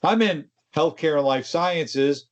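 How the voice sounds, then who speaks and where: A man's voice: on the high side, takes it slowly, muffled recording. A man co-hosting a tech show, explaining in a casual, conversational way with informal asides. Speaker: co-host of a tech discussion show.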